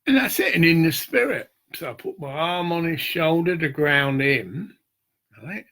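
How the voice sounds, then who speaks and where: An older man with a gravelly, husky voice, rambling and swearing in a loose monologue, often checking "get it?". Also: fairly high pitch, expressive, unhurried, bright, forceful tone. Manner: rambling, profane, keeps asking "get it?". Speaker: street preacher.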